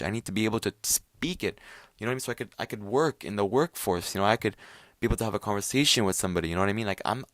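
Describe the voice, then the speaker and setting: A young man from Quebec talks straight into the mic for his own podcast, his voice sitting fairly low. He rambles and swears constantly, stumbling through fillers and restarts as he rants. The sound is dry, with hardly any room around it. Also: dry recording. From Quebec, Canada. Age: young adult. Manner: profane, rambling, filler-heavy. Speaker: podcast host. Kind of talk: casual solo rant podcast.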